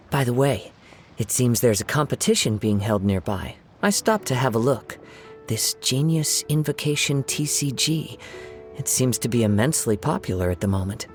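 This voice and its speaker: A man voicing a gentle, poetic young male wanderer in a video game, soft, calm and politely measured. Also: medium pitch, soft background music. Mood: calm, reflective. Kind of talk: animated video game voice acting.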